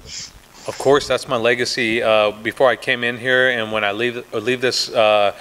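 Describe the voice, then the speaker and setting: A man's voice: medium pitch, fairly even intonation, unhurried, bright, forceful tone, low-quality recording. A man, a pro fighter, answering reporters at a press day, confident and full of restarts and "you know"s. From United States. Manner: confident, trash-talking, many restarts and fillers.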